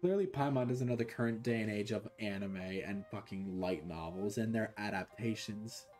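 A man streaming a video game, talking over it in a loud, sarcastic way and swearing a lot. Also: lowish voice, normal speed, soft background music. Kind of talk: casual game commentary.

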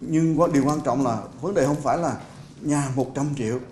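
A man's voice: on the high side, noisy background. Man speaking in an echoey press room. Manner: measured, explaining a policy view with restarts. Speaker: city construction official. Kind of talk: press conference remarks. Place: press conference hall. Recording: roomy hall sound with faint ambience.

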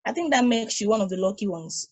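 A woman voicing a calm, philosophical ageless probe, explaining with patient reasoning in a husky voice over a video call. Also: mid-range voice, fast talker.

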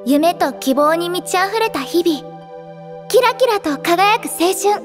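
A woman playing an earnest, expressive teenage girl idol in an anime audio drama. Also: extremely high pitch, takes it slowly, music in the background, heavily acted. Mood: wistful narration. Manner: girlish, emotive, earnest. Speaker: voice actress, narrator and lead.

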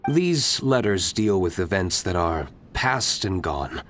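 A man voicing a cool, reserved young nobleman in a video game, speaking calmly and formally. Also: deep voice, slow, heavily acted. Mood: calm, reassuring. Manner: calm, terse, formal. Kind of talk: video game character dialogue. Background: game music.

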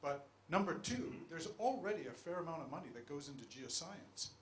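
A man's voice: a little high, lively intonation, brisk. A middle-aged man on a conference panel, urging the audience to get involved, on a handheld mic. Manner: urging, persuasive. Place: conference room. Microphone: handheld microphone. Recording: echoey conference room, faint hiss.

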